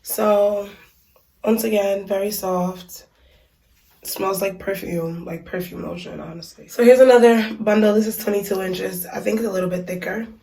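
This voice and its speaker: A woman's voice: mid-range voice, fairly even intonation, normal speed, warm tone. Chatty woman, stuffy voice, echoey bathroom. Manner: chatty, many ums and likes. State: stuffy from a cold. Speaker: beauty vlogger reviewing hair. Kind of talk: casual unboxing review. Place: small bathroom. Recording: echoey room, mic at a distance.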